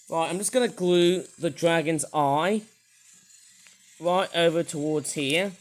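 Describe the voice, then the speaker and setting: British male toy reviewer, high croaky voice, rambly and enthusiastic, lots of "uh"s. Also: slow pace. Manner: rambling, enthusiastic, many hesitations.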